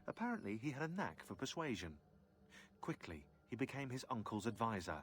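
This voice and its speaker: A man's voice: medium pitch, natural voice. An actor voicing a wry, sarcastic young male historian who narrates a game's database entries. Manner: dry, sarcastic storytelling.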